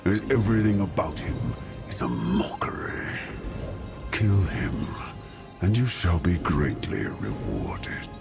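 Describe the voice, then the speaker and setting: A man playing a haughty, brooding, menacing inhuman ruler in a video game, speaking with slow theatrical disdain and breathy, gravelly edges. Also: deep voice, very animated, full character voice. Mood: brooding, commanding. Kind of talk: video game cutscene acting. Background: loud music.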